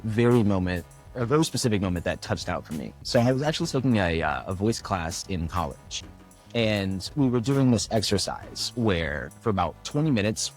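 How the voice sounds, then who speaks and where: A young man talks about his voice work in a relaxed interview, telling stories with lively, swooping intonation. His voice sits a bit low, and he slips into quoted voices as he goes. Soft music plays faintly in the background. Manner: animated storyteller, acts out quoted voices. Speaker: voiceover artist, guest. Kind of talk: casual interview chat.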